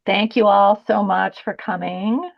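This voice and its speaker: A woman moderating a webinar on a video call, relaxed and friendly as she invites stories. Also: mid-range voice, a little slow, vocal fry. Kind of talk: casual webinar hosting. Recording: dull lo-fi call audio.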